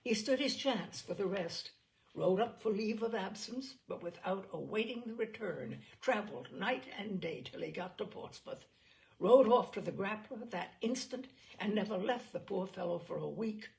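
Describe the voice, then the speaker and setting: A woman reading the part of a grieving, warm-hearted naval captain in an audiobook, telling his story earnestly. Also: medium pitch, animated, moderate pace, gravelly. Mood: subdued, moved.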